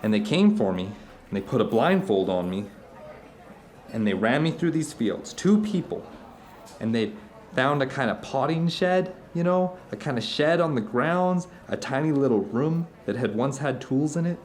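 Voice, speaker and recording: A man's voice: medium pitch, animated, normal speed, darkish tone, natural voice. Earnest, rambling male storyteller played by an actor. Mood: hushed, suspenseful. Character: earnest, fervent middle-aged theatre man recounting strange experiences. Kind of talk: audio drama dinner-table monologue.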